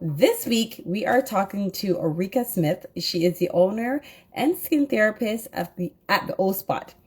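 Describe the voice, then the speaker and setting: A woman's voice: mid-range voice, lively intonation, moderate pace, darkish tone, breathy edge. A woman hosting a casual live-stream chat over a video call, stuffy from a cold and talking in a chatty way with lots of fillers. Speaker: host.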